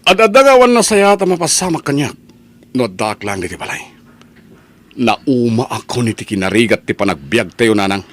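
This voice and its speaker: Man acting eager young working son, swinging pitch. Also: big pitch swings, moderate pace, a bit bright. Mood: weary, determined. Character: earnest, restless adult son desperate for work. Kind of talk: Ilocano radio-style drama acting.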